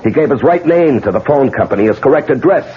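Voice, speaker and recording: An actor playing a bitter, vengeful husband. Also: male voice, medium pitch, expressive, quick pace, a little hiss or hum, close to an everyday voice. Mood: accusing. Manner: sardonic, brooding. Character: betrayed husband, bitter, coldly vengeful man. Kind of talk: 1970s radio drama acting. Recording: muffled vintage radio broadcast.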